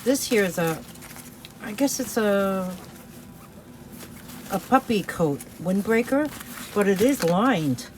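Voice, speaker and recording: New York woman reseller, unhurried, chatty and hesitant, faint background noise. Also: medium pitch, animated. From New York City. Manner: chatty, hesitant, many fillers. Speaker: resale seller. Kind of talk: casual product showing vlog.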